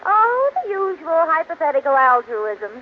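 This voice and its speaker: An actress playing an eager, scatterbrained housewife who shows off misused big words in a theatrical 1940s radio sitcom style. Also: female voice, very high-pitched, very animated, normal speed. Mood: showy, bubbly. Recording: muffled, hissy old radio broadcast.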